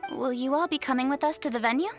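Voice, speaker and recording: A woman's voice: high voice, quick pace, faint music behind, close to an everyday voice. A woman voicing a poised, dutiful young noblewoman official, formal and composed, in an anime game dub. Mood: composed, helpful.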